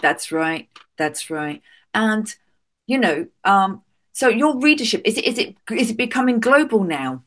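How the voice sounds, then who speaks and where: A woman's voice: medium pitch, very animated, a little slow, fairly bright tone. Encouraging woman interviewer. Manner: encouraging, lots of "brilliant" and affirmations. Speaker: interview host. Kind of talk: casual interview chat.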